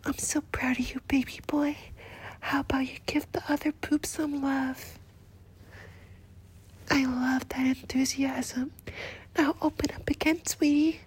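A woman voicing a doting, flirtatious nurse in a whispered roleplay, with a soft, breathy, slow and coaxing delivery. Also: high-pitched, level tone, poor audio. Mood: whispery, doting. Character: doting, flirtatious female nurse, motherly and teasing.

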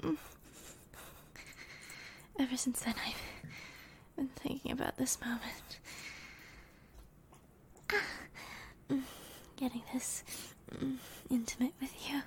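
A young woman speaking in a slow, breathy whisper in an intimate audio roleplay. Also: high-pitched, steady tone, darkish tone, slight background noise.

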